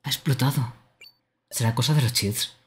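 A man giving casual, deadpan, teasing commentary on a game stream. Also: medium pitch, normal speed, a little hiss or hum.

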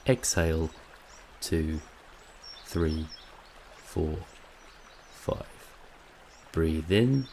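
A man narrating a scripted guided meditation in a calm, soothing, measured way, with long pauses. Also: rumbling low, a little hiss or hum.

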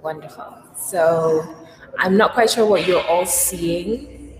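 A woman who manages development at a gallery, interviewing an artist with brisk, encouraging prompts. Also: on the low side, a crowd in the background. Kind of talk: conversational interview. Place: gallery showroom, indoors. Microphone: video call. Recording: roomy echo with faint noise.

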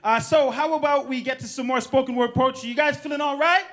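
High-pitched playful man hosting on stage. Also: fairly quick, a bit bright. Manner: slangy, playful, encouraging. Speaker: host of a poetry showcase. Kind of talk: live stage hosting, casual and hyped. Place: theatre stage before an audience. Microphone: microphone on a stand, filmed from the audience. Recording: noisy live hall recording with clicks.

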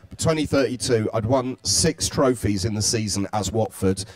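Blunt, dryly joking low-voiced man. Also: expressive, moderate pace, darkish tone, dry recording. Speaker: game studio director on a panel. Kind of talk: casual panel chat. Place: stage before a live audience. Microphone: handheld microphone.

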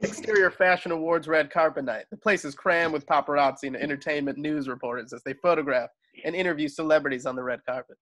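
Higher-voiced man narrating a script. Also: quick pace, a bit bright, rough recording. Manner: stumbles over words, corrects himself. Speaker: stage-direction narrator. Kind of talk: scripted narration, amateur table read. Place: home, on a group video call.

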